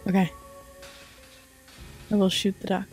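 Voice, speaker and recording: A woman's voice: mid-range voice, level tone, unhurried, darkish tone, breathy edge, soft background music. A woman playing a video game as let's play co-host, chatting casually while she plays. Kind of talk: casual gaming chat and commentary.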